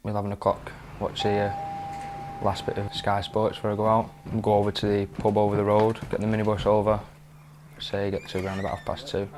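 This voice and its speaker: A young man talking casually as a fan, relaxed and hesitant with fillers. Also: on the low side, fairly quick, crowd noise. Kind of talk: casual interview.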